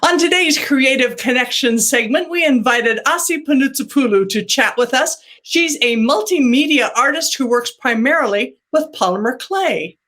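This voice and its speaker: A woman's voice: high voice, lively intonation, normal speed, hardly any pauses, fairly bright tone. Woman hosting an arts web show. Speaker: host. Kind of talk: scripted web series hosting.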